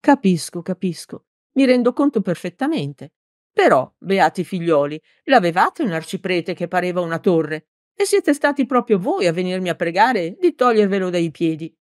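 A woman voicing an old male bishop in a storybook, courteous, measured, patient and gently chiding. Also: on the low side, expressive, no echo, clean studio sound, natural voice. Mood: weary, chiding.

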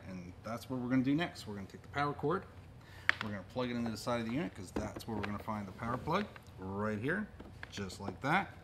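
A middle-aged man with an average-pitched voice presents audio gear in a casual unboxing style. He explains things plainly while handling the equipment. The recording is clearly noisy, picked up a few feet from the camera inside a store. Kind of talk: casual unboxing and product demonstration. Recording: noisy room recording with clicks.

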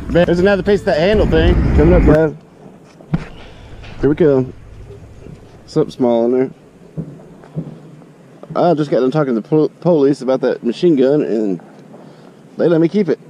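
A man's voice: a little high, lively intonation, moderate pace, long pauses, dull audio, music in the background. A man with a Southern US accent giving casual, folksy commentary in short offhand remarks as he hosts an outdoor vlog, recorded on a body-worn action camera. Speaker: video host, hobby magnet fisher. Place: outdoors on a bridge over a river.

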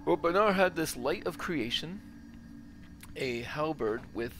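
A man's voice: a little high, animated, measured pace, fairly bright tone, slight background noise. A man giving casual gameplay commentary as a gaming host, thinking aloud as he plays. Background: video game ambience.

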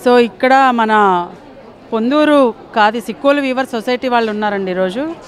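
A woman's voice: fairly high pitch, normal speed. A middle-aged woman hosting an expo, introducing stalls and explaining products on a handheld mic in a large exhibition hall. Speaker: host. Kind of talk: on-the-spot expo interviews. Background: crowd chatter.

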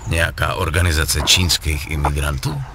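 A wary young video game gangster, low-voiced and bluntly questioning. Clear background noise sits behind his skeptical lines. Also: steady tone. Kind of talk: acted video game dialogue.

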